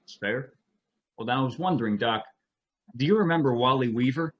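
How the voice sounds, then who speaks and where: A man's voice: mid-range voice, lively intonation, a little fast, lightly acted. A man playing a probing newspaper reporter in a fan-made drama, businesslike and pointed, on a dull recording. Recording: dull remote-call recording.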